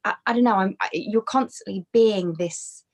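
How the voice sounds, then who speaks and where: Chatty woman on a video call. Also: mid-range voice. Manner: chatty, halting, many restarts and fillers. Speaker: podcast guest, fitness trainer. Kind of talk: casual conversational interview. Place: bedroom with brick wall. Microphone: video call webcam.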